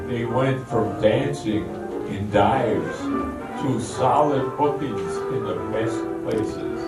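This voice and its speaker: A man's voice: medium pitch, slow, natural voice. An older man narrating a scripted amateur radio play as its storyteller. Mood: storytelling. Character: male storyteller recounting a show-business rise. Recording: echoey hall, distant mic, loud music.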